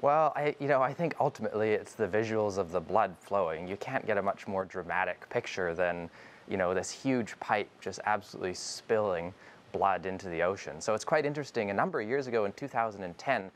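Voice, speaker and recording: A man's voice: lowish voice, fairly quick. A young man from Canada, a filmmaker interviewed from a newsroom on a lapel mic, earnest and full of fillers. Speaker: guest filmmaker. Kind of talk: remote interview. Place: newsroom studio.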